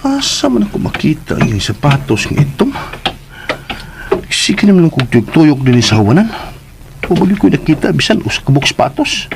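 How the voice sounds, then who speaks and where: A high voice with faint noise behind it: a humble, cheeky young man from the provinces in a radio drama. He chatters and jokes deferentially in a Cebuano accent. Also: big pitch swings, moderate pace, vocal fry. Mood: puzzled, muttering. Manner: talkative, deferential, joking. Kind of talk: Filipino radio drama acting.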